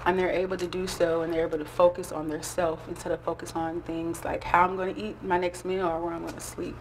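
A woman's voice: lowish voice, level tone, quick pace, slight background noise, rough recording. A woman in her thirties who works with veterans, explaining earnestly in an indoor interview. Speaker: veterans program staff. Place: indoor office.

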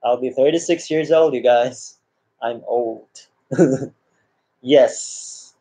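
A man's voice: mid-range voice, takes it slowly, mellow. A man, casually chatting with viewers on a live stream, reading comments aloud and greeting people by name. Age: 30s. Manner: friendly, greets viewers by name, reads chat aloud, laughs often. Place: small room indoors. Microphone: laptop webcam mic. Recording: dull lo-fi laptop sound.

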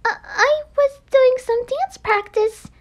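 A woman's voice: very high voice, slow pace, voice pushed up. A woman voicing a shy, starstruck teenage girl in an anime game, stammering and gushing. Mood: nervous. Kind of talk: amateur anime game voice acting.

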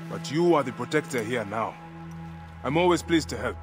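An actor playing a stoic warrior father who speaks calmly and solemnly in a video game. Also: medium pitch, moderate pace, music in the background, close to an everyday voice. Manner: calm, solemn. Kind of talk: video game cutscene dialogue.

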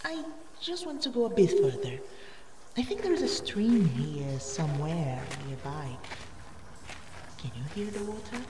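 A woman's voice: fairly low pitch, big pitch swings, unhurried. A woman playing a headstrong, curious cave explorer in an audio drama, speaking in an eager, goading, dramatic way. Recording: echoey cave-like reverb, distant, faint noise.

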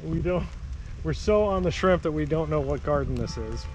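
A man's voice: a little high, animated, a little hiss or hum, rough recording. A young man co-hosting a hiking vlog, chatting casually and upbeat while standing outdoors in bare woods. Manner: chatty and upbeat, quick asides. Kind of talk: casual chat. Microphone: 360 camera on a handheld stick.

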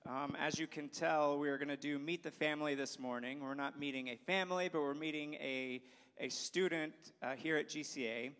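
A man's voice: on the high side, steady tone, moderate pace, a bit bright. A young man hosting a student interview on a church stage with a handheld mic, upbeat and prone to restarting his sentences. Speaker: school staff host. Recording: long reverb of a large hall.

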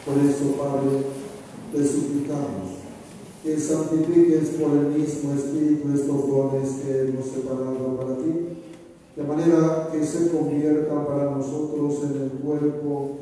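An older man, a priest, praying the Mass in a slow, solemn, half-sung chant, heard from far back in a large church. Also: fairly high pitch, level tone, mellow, slight background noise. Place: large church with open sides. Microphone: altar microphone, filmed from far back. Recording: echoey hall from far off.

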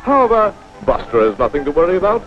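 With music behind on a muffled old film soundtrack, a pompous storybook narrator. His pitch swoops wildly as he delivers florid, mock-heroic cartoon narration. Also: very animated, fast. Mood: arch, breezy. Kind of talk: scripted 1940s cartoon narration.

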